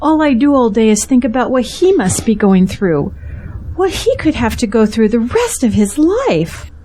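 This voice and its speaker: A woman plays a troubled pastor's wife in an audio drama. Her voice sits fairly high with a mellow, soft-edged tone, carrying worry for her husband and gratitude to friends. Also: big pitch swings, normal speed, a little hiss or hum. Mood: weary, sincere. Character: distressed, grateful pastor's wife fearing for her jailed husband.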